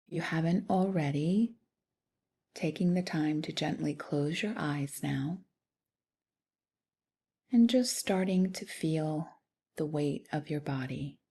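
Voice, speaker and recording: A woman guides a meditation in a low, gentle voice. Her tone is mellow and breathy, softening almost toward a whisper. She speaks soothingly, leaving long pauses between short phrases. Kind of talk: guided body scan meditation.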